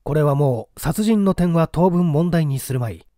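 Male audiobook narrator: mellow, slightly high voice, formal and measured delivery of mystery fiction. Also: animated, dry recording, clean studio sound. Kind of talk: dramatic literary narration of a mystery story.

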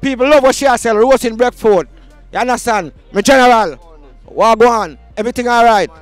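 Jamaican street host, young man, high-pitched and hyped, handheld mic, dry outdoor sound. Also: a little fast, clear, resonant tone, no echo, soft background music. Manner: hyped, shouting slogans, Patois banter. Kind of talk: roving market vox pop with a handheld mic.

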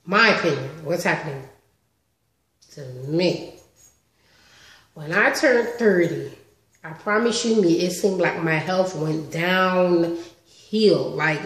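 A woman in her thirties chatting casually on a home vlog, animated and talkative, recorded on a webcam in her living room. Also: fairly low pitch, echoey room. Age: 30s. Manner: chatty, animated with lots of hand gestures. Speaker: vlogger. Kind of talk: casual story-time vlog. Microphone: webcam close up.

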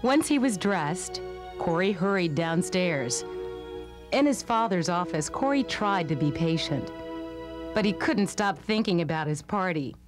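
A woman's voice: on the low side, lively intonation, unhurried, music in the background. A woman reading scripted narration for a children's storybook. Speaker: storybook reader.

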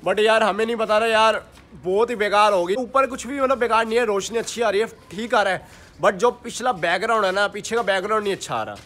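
A man's voice: high voice, quick pace, a bit bright. A young man in his twenties chatting to his phone camera at home as a vlogger, narrating his day, with a slightly sore throat. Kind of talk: casual vlog chat. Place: small room at home. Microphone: phone held at arm's length.

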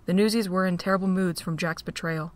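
A woman narrating a fan audio drama with steady, measured reading. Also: lowish voice.

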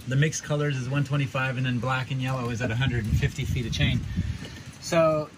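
A man's voice: mid-range voice, fairly quick. Chatty middle-aged man on a windy boat deck. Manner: relaxed, chatty, many ums and restarts. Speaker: sailing vlog host. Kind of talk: casual how-to explanation. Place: outdoors on a catamaran deck at a marina. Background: wind and harbor noise.

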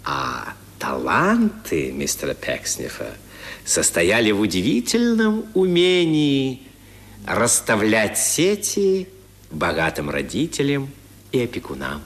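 An actor playing an ironic, theatrical narrator of a scripted radio drama. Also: male voice, lowish voice, wildly swooping pitch, slow pace, fairly bright tone, heavily acted. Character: ironic male narrator introducing a satirical tale. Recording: long reverberant hall echo.

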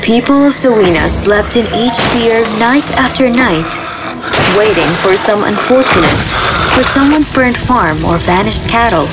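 A woman's voice: fairly high pitch, animated, measured pace, rough recording. A woman giving scripted narration of a fairy tale as the storyteller. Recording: music behind the voice.

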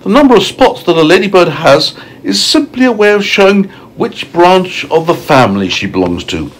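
A man's voice: sits high, very animated, normal speed, voice pushed up, rough recording. A man voicing a proud, prim female ladybird from a storybook, genteel and fussy. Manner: genteel, self-satisfied, fussy.

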